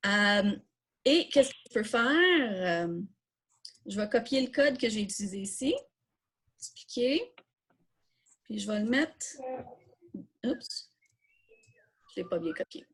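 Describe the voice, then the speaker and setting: A woman's voice: mid-range voice, lively intonation, takes it slowly, long pauses, fairly bright tone, slightly rough. A woman with a Quebec French accent hosting a live online coding workshop, informally thinking aloud and answering questions as she demonstrates. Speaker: workshop host.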